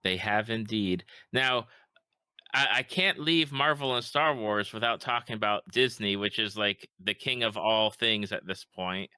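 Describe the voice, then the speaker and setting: A man's voice: on the low side, measured pace, sharp tone, smooth, no echo, poor audio. A man hosting a podcast, asking questions casually with frequent restarts. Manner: conversational, stumbling restarts. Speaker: podcast host. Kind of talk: casual interview chat.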